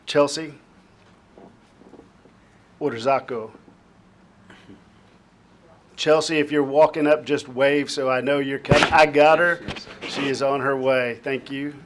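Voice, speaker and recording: A man's voice: mid-range voice, steady tone, takes it slowly, long pauses, a little hiss or hum. A man chairing a county court meeting, calling up speakers in a courteous, informal way. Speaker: presiding official.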